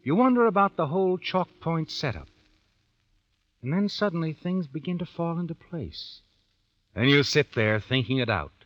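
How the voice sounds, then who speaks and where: An actor playing an ominous, knowing narrator of a vintage radio mystery, speaking deliberately to the heroine. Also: male voice, fairly high pitch, big pitch swings, no echo. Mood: ominous, insinuating. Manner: insinuating, deliberate. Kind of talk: dramatic second-person radio suspense narration. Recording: dull old radio broadcast recording. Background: dramatic music.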